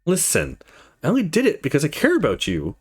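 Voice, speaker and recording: A man's voice: fairly high pitch, huge pitch swings, brisk, slightly rough, pitched above the performer's own voice, full character voice. A man voicing a caring but prying young woman in a visual novel, insistent and concerned. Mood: defensive, pleading. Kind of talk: visual novel dialogue read aloud.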